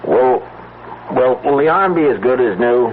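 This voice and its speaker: An actor playing an uneasy, gruff husband in an old-time radio drama, halting and muttering in a folksy way. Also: male voice, mid-range voice, expressive, slight background noise, close to an everyday voice. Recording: tinny old radio broadcast with music.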